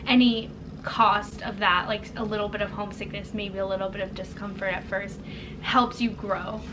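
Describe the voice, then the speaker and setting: A young woman from the United States chatting casually as a guest, relaxed and encouraging. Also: medium pitch, normal speed. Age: young adult. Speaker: exchange student guest. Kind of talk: casual chat. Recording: distant mic, background noise.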